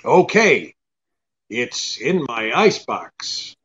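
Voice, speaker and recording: An actor playing a tough, shrewd private detective in a radio drama, brisk and commanding. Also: male voice, mid-range voice, very animated, a bit bright, dull audio. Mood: confident. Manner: brisk, hard-boiled, commanding. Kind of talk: old-time radio drama acting.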